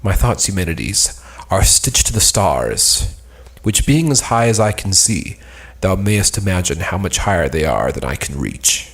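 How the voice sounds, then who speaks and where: A man's voice: low voice, very animated, unhurried, heavily acted. A man playing a lovesick, melancholy young nobleman in a stage play, speaking archaic lines in a lofty, declamatory way. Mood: wistful, lofty.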